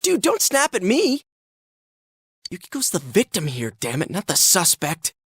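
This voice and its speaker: A man voicing an excitable, earnest teenage boy in a video game dub, with a slangy, blurting way of talking. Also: high voice, unhurried, breathy edge, no room sound, clean studio sound, full character voice. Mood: indignant, determined.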